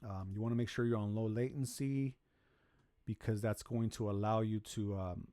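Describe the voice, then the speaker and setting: A man with a deep, very mellow voice explains his recording setup on a home studio microphone. He talks casually and meanders, restarting sentences and filling pauses. The sound is close and dry with no room echo. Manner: relaxed, rambling, many fillers and restarts. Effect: auto-tune pitch correction on some lines. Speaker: music production tutorial host. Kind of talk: casual screen-recorded tutorial. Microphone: studio vocal microphone.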